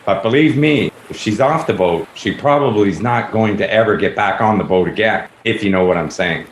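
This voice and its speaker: A man's voice: lowish voice, brisk, close to an everyday voice. A man playing a pompous, plodding, self-important police detective in a radio play. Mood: officious. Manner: pompous, repetitive, officious. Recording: echoey room, faint background noise.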